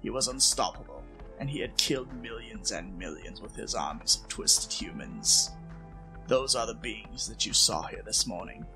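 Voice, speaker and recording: A man playing a frail, aged king and father in an audio drama, speaking softly, formally and with measured gravity. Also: fairly low pitch, animated, poor audio. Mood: grave, urgent. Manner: soft-spoken, measured, formal. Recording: music bed behind the voice.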